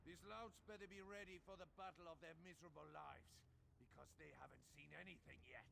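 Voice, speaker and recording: An actor playing a theatrical, fussy fantasy fighter with a flamboyant, raspy delivery. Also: male voice, fairly high pitch, fairly even intonation, fairly quick, sharp tone, a little hiss or hum, heavily acted. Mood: scornful bravado.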